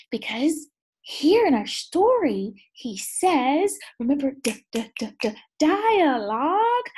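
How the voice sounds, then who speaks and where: A woman teacher reading a picture book aloud to second graders. She is animated and keeps asking the children questions. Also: sits high. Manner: animated, asks the class many questions. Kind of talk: read-aloud lesson for children.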